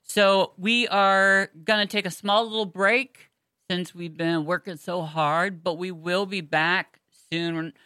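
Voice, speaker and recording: A woman's voice: fairly low pitch, steady tone, slow, bright, forceful tone, smooth, no room sound. A woman co-hosting a fan podcast in a chatty, effusive, informal way. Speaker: podcast co-host. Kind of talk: casual fan podcast chat.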